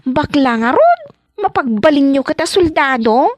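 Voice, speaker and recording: A woman's voice: sits high, sing-song, moderate pace, no echo, studio quality. A woman playing a timid young girl, a younger sibling, with exaggerated childlike delivery in an Ilocano radio comedy drama. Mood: puzzled, naive.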